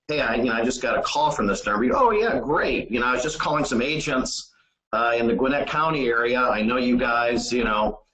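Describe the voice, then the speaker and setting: A man's voice: mid-range voice, fairly bright tone. Echoey, conversational man coaching sales. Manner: halting, conversational, often says "you know". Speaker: sales trainer. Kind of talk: informal training talk. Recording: roomy, echoey sound.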